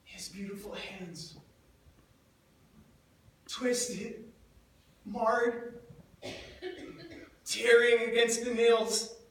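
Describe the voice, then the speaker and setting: An actor playing a grief-stricken, guilt-ridden disciple of Jesus in a theatrical monologue, speaking in a halting, emotive way. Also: male voice, very high voice, fairly even intonation, a bit bright, a little hiss or hum, full character voice. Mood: anguished, horrified. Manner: halting, anguished, emotive delivery with repeated words. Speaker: actor in a church dramatic monologue. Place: large echoing church indoors. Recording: echoey church, far from the mic.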